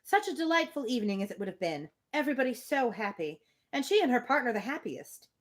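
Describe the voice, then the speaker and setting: A woman's voice: on the high side, big pitch swings, fast talker. Woman reading a novel aloud, chatting informally. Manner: informal, opinionated asides. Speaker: reader on a livestream. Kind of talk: live book reading with casual commentary.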